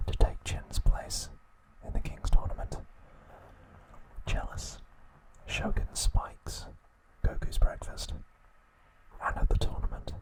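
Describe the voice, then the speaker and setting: A man hosting ASMR, narrating in a hushed, soothing, breathy whisper at an unhurried pace. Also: low-quality recording. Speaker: ASMR host.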